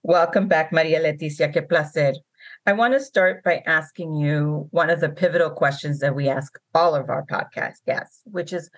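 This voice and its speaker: A woman hosting a podcast interview, relaxed and loose with her words. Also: on the low side, no room sound. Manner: relaxed, hedging with "kind of". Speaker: podcast host. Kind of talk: casual interview.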